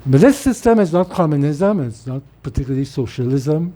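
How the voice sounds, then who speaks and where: A man's voice: a little high, animated, a little fast, dark tone. A middle-aged man from Trinidad with a Trinidadian accent, giving a rambling informal talk and repeating words as he restarts. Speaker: political speaker. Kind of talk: informal monologue.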